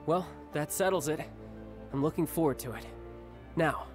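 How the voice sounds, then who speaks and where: Brief: a man voicing a breezy game warrior, slightly high voice, music behind. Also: normal speed, close to an everyday voice. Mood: friendly, confident. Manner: smooth, friendly, confident. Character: charming young male warrior, cocky and fond of family.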